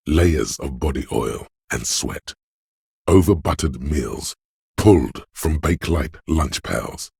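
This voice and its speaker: A gravelly, grim inner voice of the senses. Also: male voice, very deep voice, no echo, studio quality, exaggerated voice. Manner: slow, hushed, lingering on sensory detail. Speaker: voice of a game's inner perception skill. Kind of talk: second-person game narration.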